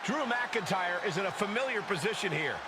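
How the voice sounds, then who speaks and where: Male wrestling play-by-play, extremely high and animated, alarmed, video game broadcast with loud noise behind. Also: a little fast.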